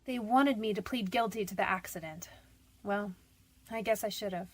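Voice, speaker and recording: A woman's voice: medium pitch, moderate pace, close to an everyday voice. An actress playing a frightened but defiant young convicted woman in a radio detective drama, defensive, pleading and slangy. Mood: resigned.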